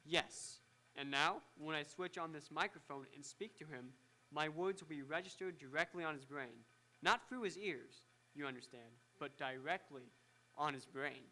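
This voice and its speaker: A man acting as a proud scientist of vintage radio theatre, easily excited by his own inventions. His voice sits a little high and rings bright and forceful. He explains things in fussy, precise technical terms. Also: normal speed, rough recording. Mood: self-important, explaining. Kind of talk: old-time radio drama acting. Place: live on an auditorium stage.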